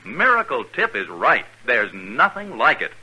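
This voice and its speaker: A man's voice: mid-range voice, huge pitch swings, a little slow, sharp tone, slightly rough, low-quality recording. A man reading a 1950s radio advertisement as a commercial announcer.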